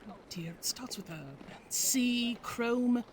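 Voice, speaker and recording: A woman's voice: fairly high pitch, slow pace, a little hiss or hum. A woman playing a prim, polite lady who dotes anxiously on her cat in a scripted audio drama. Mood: flustered, fond.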